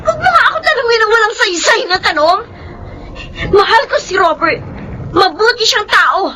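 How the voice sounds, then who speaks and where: A very high-pitched, plaintive young wife, nervous but stubborn. Her melodramatic radio acting swings widely in pitch, over a clearly noisy track. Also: lively intonation, moderate pace, bright, forceful tone, heavily acted. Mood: tearful, defiant.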